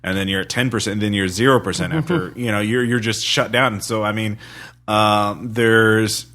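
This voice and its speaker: A man's voice: on the low side, steady tone, normal speed, fairly bright tone. A man chatting casually as a podcast co-host, rambling, repeating phrases and swearing freely. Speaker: podcast co-host and tabletop player. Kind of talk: casual chat.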